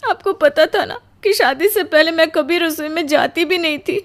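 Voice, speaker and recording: A woman's voice: very high voice, moderate pace, voice pushed up. A woman playing an exhausted, aggrieved young newlywed bride in a melodramatic cartoon story, plaintive and complaining. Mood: tearful, bitter.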